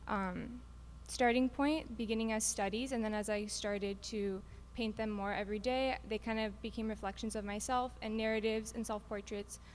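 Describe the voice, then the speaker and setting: Flat-toned young woman painter. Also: medium pitch, a little hiss or hum. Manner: casual, with many "like"s and "kind of"s. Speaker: painter on a panel. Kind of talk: casual self-introduction. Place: art gallery.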